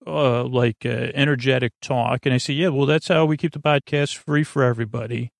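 Male podcast host, creaky voice, close dry sound; whimsical, halting bedtime rambler who wanders off mid-sentence. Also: medium pitch, steady tone, no echo. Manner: meandering, halting, trailing off mid-thought; goofy, whimsical. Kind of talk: rambling sleep podcast monologue.

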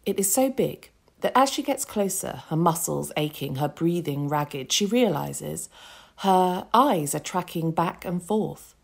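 A woman with a very low voice reads aloud from her own novel. She takes it slowly and deliberately, weighing each phrase. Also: lively intonation, creaky, breathy edge. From England. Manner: slow, deliberate reading. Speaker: author reading her novel. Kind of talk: measured literary reading.

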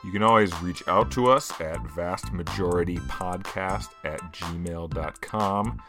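Deep-voiced man with a bright edge. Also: unhurried, faint music behind. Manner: rambling, many fillers and restarts. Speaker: podcast host. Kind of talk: casual podcast chat.

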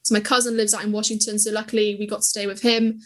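A woman's voice: mid-range voice, level tone, very fast. A woman in her twenties, a podcast guest and travel creator, telling travel stories with enthusiasm and plenty of fillers. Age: 20s. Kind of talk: casual interview chat.

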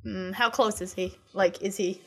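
A young woman playing a tabletop game talks through her plans out loud. She is chatty and casual, and laughs now and then. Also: medium pitch, fairly even intonation, moderate pace, soft background music. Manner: chatty, thinks aloud, laughs. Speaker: player.

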